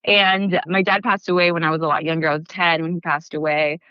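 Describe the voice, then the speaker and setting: A woman's voice: lowish voice, level tone, a little fast, smooth, no echo, muffled recording. A young woman, born in the Philippines and raised in California, chatting as a guest about her bakery, with lots of fillers and restarts. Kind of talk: casual interview talk.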